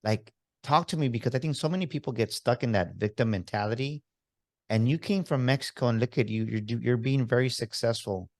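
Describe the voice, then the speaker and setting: Male podcast host, upbeat hype-man delivery, average pitch. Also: fairly quick. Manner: hype-man energy, enthusiastic and emphatic. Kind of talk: upbeat motivational podcast interview.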